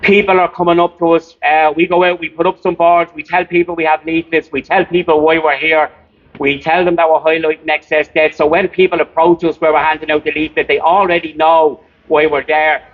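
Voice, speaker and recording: High-pitched Irish man making a public speech. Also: level tone, fairly quick. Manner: earnest, emphatic, repetitive phrasing. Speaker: campaign volunteer giving an address. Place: outdoors outside a hospital. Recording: thin phone-like outdoor recording.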